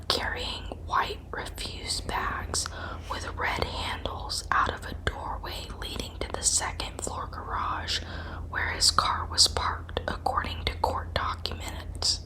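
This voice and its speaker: Slow whispering woman narrator. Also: extremely high pitch, rough recording. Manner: hushed, measured, factual storytelling. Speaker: narrator of true crime ASMR. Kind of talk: whispered ASMR scripted narration.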